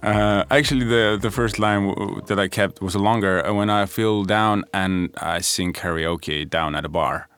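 A man's voice: lowish voice, measured pace, hardly any pauses, fairly bright tone, smooth. A man from Estonia, a music producer, answering interview questions in a thoughtful, hesitant way with many fillers. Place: podcast studio.

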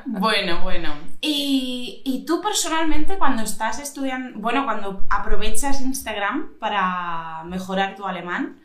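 A woman's voice: fairly high pitch, lively intonation, unhurried, hardly any pauses, fairly bright tone. Curious woman host in an echoey room. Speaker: podcast host. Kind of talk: casual podcast conversation.

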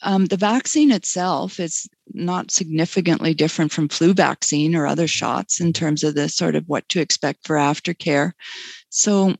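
A woman talking as a guest in a webinar conversation, relaxed and chatty, laughing often. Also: deep voice, moderate pace, creaky, dry recording. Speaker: guest speaker.

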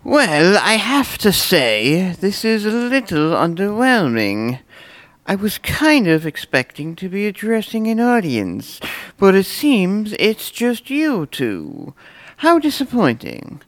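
A woman's voice: medium pitch, huge pitch swings, slow pace, no room sound. A woman playing a pompous, boastful, self-important superhero in a comedic audio drama. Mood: smug, disappointed. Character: vain, brash, self-important superhero with laser eyes.

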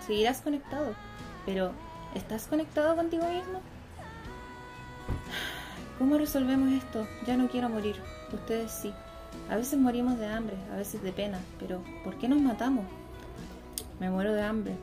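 A troubled, plain-spoken Chilean girl, played by a young woman in a radio drama. Her voice sits fairly high, and music plays clearly behind her. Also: moderate pace, long pauses, natural voice. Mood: questioning, reflective. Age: young adult. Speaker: student performer. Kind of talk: radio theatre acting.

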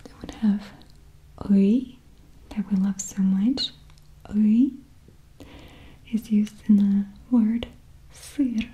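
Slow whispering woman with a Russian accent. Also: mid-range voice, steady tone. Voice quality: soft whisper. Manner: slow, patient, repeats each sound. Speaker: language teacher. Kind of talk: ASMR whispered Russian alphabet lesson.

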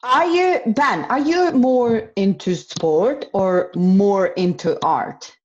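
A woman's voice: mid-range voice, slow, darkish tone, dull audio. A woman hosting a podcast interview, upbeat and enthusiastic. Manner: enthusiastic, laughs often. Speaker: podcast host. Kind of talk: casual interview chat.

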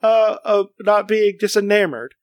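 A man with a notably high voice chats casually on a podcast, teasing and rambling through lots of fillers. The recording is dry and close, with no room sound. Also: a little slow, no echo, lo-fi sound. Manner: playful, rambling with many fillers. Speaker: podcast co-host. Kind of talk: casual chat.

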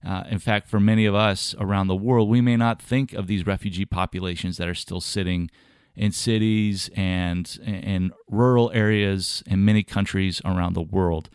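A man hosting a podcast, talking earnestly and at a measured pace with some fillers, his voice slightly scratchy from a sore throat. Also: sits low, fairly even intonation, a little mellow, clean tone, no echo, clean studio sound. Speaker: podcast host. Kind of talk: podcast monologue on ministry travels.

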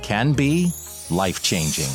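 A man's voice: on the low side, sing-song, a little slow. A man reading a scripted theater advertisement as an announcer, with music behind him. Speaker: promo announcer.

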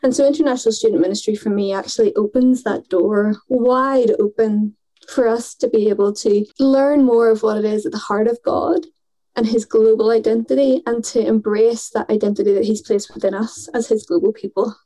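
A woman's voice: fairly high pitch, fairly even intonation, moderate pace, warm tone, poor audio. A woman mission worker in her thirties, speaking earnestly and thoughtfully in a conversational podcast.

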